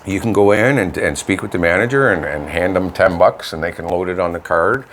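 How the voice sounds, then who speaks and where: A man with a Canadian accent chatting casually as co-host of a local show, talking in a rambling, halting way. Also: deep voice, fairly bright tone, slight background noise. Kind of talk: casual community chat. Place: home studio.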